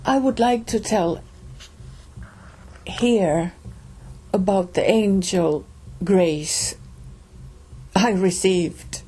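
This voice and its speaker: A middle-aged woman with a Finnish accent, telling a personal story slowly, with pauses and restarts. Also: mid-range voice, warm tone, slightly rough. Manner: slow, halting, with pauses and restarts. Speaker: reader and storyteller.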